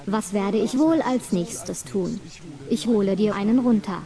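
A young woman with a warm, mellow voice at an ordinary pitch speaks straight to the listener. Her delivery is slow, commanding and insistent, and she repeats phrases in a hypnotic rhythm, as if reading a prepared script.

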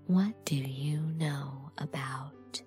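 A woman with a low, mellow voice, breathy and often dropping to a whisper. She speaks with slow, deliberate authority, as a dominant hypnotist reading a script. Faint music sits underneath. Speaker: erotic hypnosis performer. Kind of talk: erotic hypnosis audio, scripted.

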